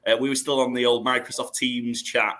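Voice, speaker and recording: Male podcast host, slightly hoarse, bright and forceful tone, loose rambling delivery. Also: medium pitch, flat delivery, fairly quick. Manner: rambling, with restarts and fillers. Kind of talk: casual chat, hosting a draft show.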